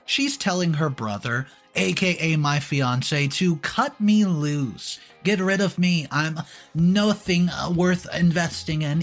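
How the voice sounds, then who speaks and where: A man narrating a first-person story in a casual, chatty way, talking straight to his listeners with the odd filler sound. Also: fairly high pitch. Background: faint background music.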